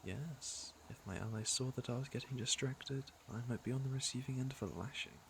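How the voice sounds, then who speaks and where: A man's voice: mid-range voice, a little fast, slight background noise, lightly acted. A man playing a teasing, flirtatious fantasy villain with a caring side, in an intimate ASMR roleplay. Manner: smooth-talking, teasing, intimate. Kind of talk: ASMR roleplay acting, intimate second-person address.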